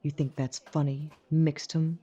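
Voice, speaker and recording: Hostile, sneering werewolf sister, acted by a woman, husky voice, slightly dull recording, audio drama. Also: low voice, level tone, measured pace, mellow. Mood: contemptuous. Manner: sneering, contemptuous. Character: haughty, hostile younger werewolf sister, scornful. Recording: slightly dull, muffled microphone.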